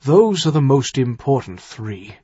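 A man's voice: mid-range voice, sing-song, measured pace, warm tone, breathy edge, dry recording, muffled recording. A man voicing an earnest, exasperated older earl and worried father-in-law in an audiobook, urgent and insistent. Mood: grave, urgent. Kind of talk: audiobook character dialogue.

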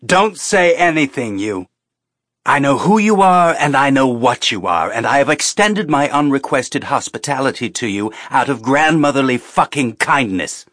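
A man voicing an angry, stubborn, profane spaceship captain in an audiobook, gruff and blunt in manner. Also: medium pitch, animated, normal speed, a bit bright, no room sound, studio quality, pitched above the performer's own voice. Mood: angry, defiant. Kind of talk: audiobook character acting.

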